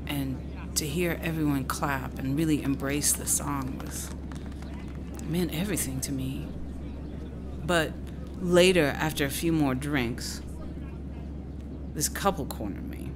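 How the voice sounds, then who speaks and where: A candid female musician, speaks in a low register. The delivery is conversational radio-drama storytelling, natural and casual, over clearly audible ambient noise. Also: measured pace, pauses often. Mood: wistful, casual. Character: candid woman traveler recalling a painful betrayal, musician.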